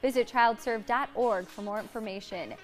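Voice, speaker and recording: High-pitched American woman TV host. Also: moderate pace, soft background music. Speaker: TV lifestyle show host. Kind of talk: scripted presenting and friendly interviewing.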